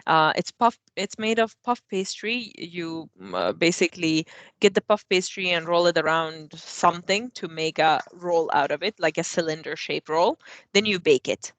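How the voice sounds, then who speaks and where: A woman's voice: on the low side, expressive, moderate pace, a bit bright, no echo. A young woman from Afghanistan co-hosting a casual podcast from her living room, chatting with many fillers and restarts. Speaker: co-host. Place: living room at home, kitchen nearby.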